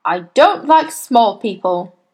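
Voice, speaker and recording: A woman's voice: on the high side, sing-song, slow, a little mellow, slightly rough, exaggerated voice. A woman voicing a huge, bullying headmistress in a children's storybook, barking and snapping with imperious contempt for children. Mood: scornful.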